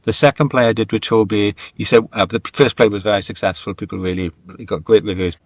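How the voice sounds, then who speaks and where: A man's voice: on the low side, steady tone, quick pace, no room sound, lo-fi sound. A middle-aged man speaking reflectively as an interview guest, thoughtful and emphatic, repeating phrases for stress. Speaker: guest, screenwriter and radio dramatist. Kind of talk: reflective interview conversation, career anecdotes.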